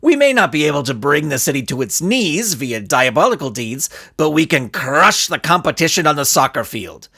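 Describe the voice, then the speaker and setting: A man's voice: on the high side, very animated, moderate pace, few pauses, pushed and bright, breathy edge, full character voice. Male reader as scheming skull-headed villain, grandiose, bombastic, swooping delivery, storybook. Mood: scheming, grand. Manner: pompous, bombastic speechifying.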